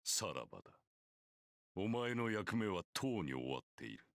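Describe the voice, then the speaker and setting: A man playing a cold, ruthless middle-aged priest in a visual novel, speaking in a measured, formal and commanding way. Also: deep voice, very animated, dry recording, clean studio sound, full character voice. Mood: dismissive. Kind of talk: Japanese visual novel voice acting. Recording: game audio with loud music.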